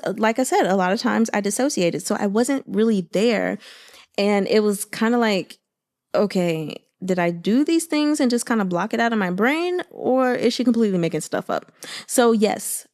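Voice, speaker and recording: A woman with a mid-range voice speaks in a casual, chatty way, like someone sharing thoughts with followers. Her delivery is informal and expressive, with conversational asides. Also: medium pitch. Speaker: book reader and commentator.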